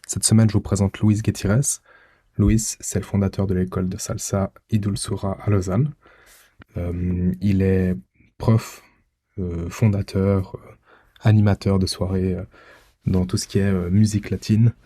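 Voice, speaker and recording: A man's voice: low voice, flat delivery, moderate pace, warm tone. A man hosting a podcast in a casual, conversational way. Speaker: podcast host. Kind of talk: casual podcast intro and interview.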